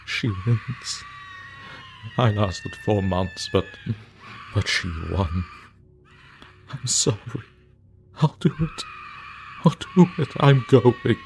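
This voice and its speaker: A man's voice: sits low, steady tone, slow pace, pauses often, a little hiss or hum. A man voicing a haunted, whispering killer. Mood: desperate. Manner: whispering, pleading, breaking into frantic shouts. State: exhausted and terrified. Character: haunted guilt-ridden man writing a journal. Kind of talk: horror story reading.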